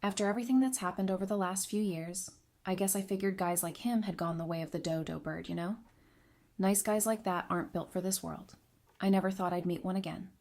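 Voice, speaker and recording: A woman's voice: medium pitch, steady tone, brisk, darkish tone, natural voice. An actress playing a candid, dry-witted woman adviser in an audio drama, talking in a frank, conversational way. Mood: wistful. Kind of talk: fan audio drama acting.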